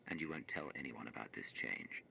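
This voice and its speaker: An actor playing a grave, authoritative hero in a video game, speaking directly and seriously. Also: male voice, a bass voice, sing-song, brisk, vocal fry, pushed and bright, slight background noise, heavily acted. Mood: stern, commanding. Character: grave, commanding male rescued hero, measured and authoritative. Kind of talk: video game cutscene voice acting.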